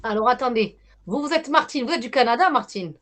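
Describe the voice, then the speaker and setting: A woman leads a live medium session over a video call. Her voice sits higher than most, and she talks in a hesitant, reassuring way, often repeating herself. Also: expressive, brisk, fairly bright tone. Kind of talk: live medium reading with callers.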